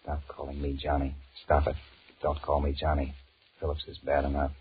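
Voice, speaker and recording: Sullen American two-timer, extremely low voice, deadpan inner grumbling, old radio drama, narrow noisy vintage sound. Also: male voice, moderate pace. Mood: weary, grumbling. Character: weary, henpecked two-timing office man, sullen inner thoughts. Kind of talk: 1940s radio drama acting, inner monologue. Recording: muffled, noisy 1940s radio recording.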